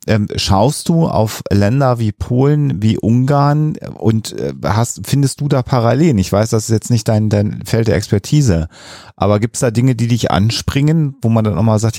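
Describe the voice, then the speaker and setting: Mellow-voiced man hosting, dry sound. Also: lowish voice, gravelly, dry recording. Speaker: podcast host, interviewer. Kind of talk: conversational interview.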